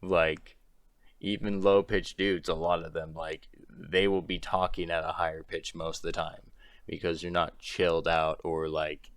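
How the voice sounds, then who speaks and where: Young guy ranting on his channel, really low voice a bit thrashed, dry close sound, keeps laughing it off. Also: little pitch movement, a little slow, no room sound. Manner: exasperated, rambling, laughs often between points. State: strained throat. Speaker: commentary creator. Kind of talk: ranting commentary.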